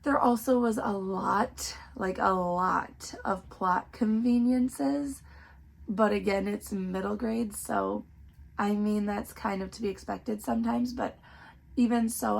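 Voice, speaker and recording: A young woman with a hoarse, worn-out voice, chatting casually through a book review with lots of filler words. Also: mid-range voice, low-quality recording. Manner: chatty, many "like" fillers, earnest.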